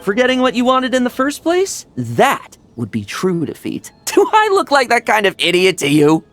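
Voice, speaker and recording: A man's voice: extremely high pitch, wildly swooping pitch, moderate pace, a bit bright, soft background music, heavily acted. A man playing a cocky, irreverent young knight in a video game, with a teasing, breezy, theatrical delivery. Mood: determined, playful. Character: cocky, irreverent young male knight, self-mockingly defiant.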